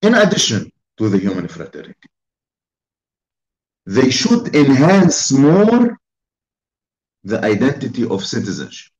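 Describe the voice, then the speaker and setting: Slow, deliberate man giving a formal talk. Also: mid-range voice, wildly swooping pitch, a little mellow, reverberant, lo-fi sound. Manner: slow, deliberate, emphatic delivery in phrases. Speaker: invited speaker.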